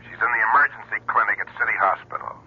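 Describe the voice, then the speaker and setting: A grave police inspector in a radio thriller, blunt and businesslike. His low voice is very rough, and the old recording is muffled. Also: fast. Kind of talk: old-time radio drama acting.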